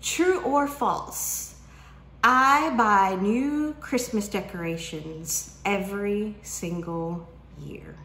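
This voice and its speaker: A woman's voice: mid-range voice, drawn-out words, pushed and bright, a little hiss or hum. A woman, chatting casually to camera about books from her home kitchen and drawing out her questions in a teasing way. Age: 40s. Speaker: book vlogger. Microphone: camera at desk distance. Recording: roomy kitchen echo.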